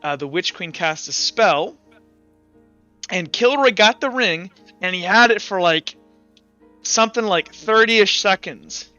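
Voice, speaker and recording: A man with a fairly high, very bright voice runs a casual roleplay game. He talks in stops and starts as game master, over background music. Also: animated, unhurried, no echo, muffled recording. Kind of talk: casual tabletop roleplay session talk.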